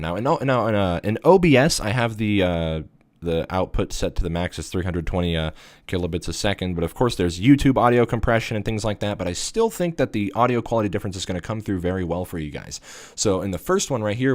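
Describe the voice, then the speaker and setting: A man with a deep voice walks through a casual tech review, his pitch moving up and down a lot. He rambles with plenty of fillers. He is recorded close on a USB studio microphone with a dry sound. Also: dry recording. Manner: rambling, filler-heavy explanations.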